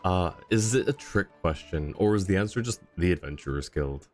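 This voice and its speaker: A man with a low voice livestreams a video game. He talks casually and wryly, as if chatting with viewers, while faint game music plays underneath. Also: expressive, moderate pace, a little mellow. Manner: chuckling, wry, conversational.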